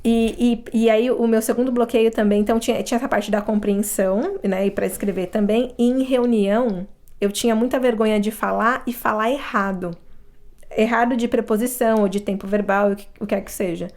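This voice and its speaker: A woman's voice: a little high, steady tone, a little fast, darkish tone. A Brazilian woman with a Brazilian Portuguese accent, a guest telling her stories in a casual interview, reflective, often restarting her sentences. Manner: reflective storytelling with frequent restarts.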